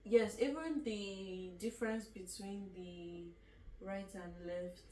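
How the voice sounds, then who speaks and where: A woman's voice: medium pitch, slow pace, darkish tone. A young woman with a Nigerian accent, chatting casually as a guest and laughing often as she talks. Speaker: guest student. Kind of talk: casual chat. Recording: echoey room from far off, faint noise.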